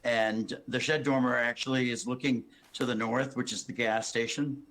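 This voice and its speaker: A hoarse man presenting his building plan to a town planning board, rambling with many restarts and fillers. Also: medium pitch, fairly even intonation, moderate pace, fairly bright tone. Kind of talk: public meeting testimony.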